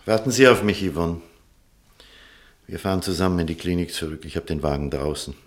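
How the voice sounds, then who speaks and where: A man acting an earnest, troubled doctor in a German radio play, speaking formally and with measured insistence. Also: low-pitched, fairly even intonation, no echo. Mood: firm, polite. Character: earnest, troubled male doctor, firm and resolute. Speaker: actor in radio drama.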